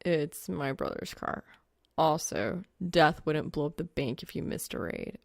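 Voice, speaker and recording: A woman's voice: low voice, a little slow, creaky, no room sound, pitched below the performer's own voice. A woman voicing a dry, grumpy young male gamer with a sardonic drawl in an audiobook story. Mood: dry, unimpressed.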